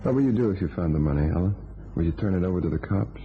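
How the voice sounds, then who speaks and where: An actor playing Rusty Connors's type, a tough, scheming ex-convict with terse slangy talk, in a crime radio play. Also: male voice, low voice, lively intonation, rapid-fire, a little hiss or hum. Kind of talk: old-time crime radio play acting. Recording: tinny band-limited old radio, music behind.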